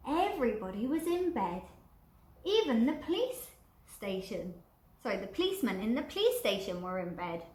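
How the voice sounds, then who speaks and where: A woman reading a picture book aloud to children in an expressive, deliberate way, drawing out repeated words. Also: on the high side. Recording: roomy, echoing indoor sound.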